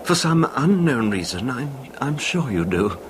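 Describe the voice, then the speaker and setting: A nervous, deferential little man in a vintage radio horror play. He speaks softly and haltingly, apologising as he goes, with a voice that rises and dips widely. The old recording carries faint noise. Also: medium pitch, big pitch swings. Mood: nervous, confiding. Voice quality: soft-spoken, sometimes barely audible. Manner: tentative, deferential, halting, overly polite. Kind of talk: 1970s radio horror drama acting.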